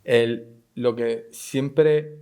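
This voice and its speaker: A man's voice: medium pitch, steady tone, extremely slow, dark tone, clear, resonant tone. A man from explaining things off the cuff as a panelist, often adding tag questions. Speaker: panelist, tech company professional. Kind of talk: unscripted panel discussion.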